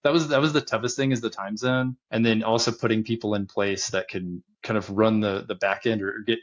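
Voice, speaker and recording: A man in his thirties, a podcast guest, talking casually about his business and travels, relaxed and often chuckling. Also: fairly low pitch, fairly quick, muffled recording. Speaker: podcast guest, land investor. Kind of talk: casual interview talk.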